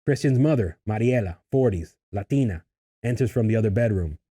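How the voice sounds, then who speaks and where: A man reading screenplay stage directions in a husky voice, as scripted narration at a table read. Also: on the low side, lively intonation, a little fast, mellow.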